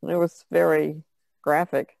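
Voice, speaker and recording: A woman's voice: low-pitched, little pitch movement, measured pace, vocal fry, darkish tone, no echo. A woman chatting casually as a participant in a podcast discussion. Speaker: podcast co-host.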